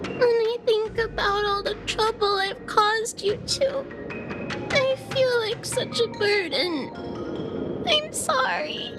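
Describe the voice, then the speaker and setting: An actress voicing a timid, sweet, tearful little girl in a video game, speaking in a halting, apologetic way. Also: very high-pitched. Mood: guilty, crying. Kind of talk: scripted video game voice acting. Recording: background music under the voice.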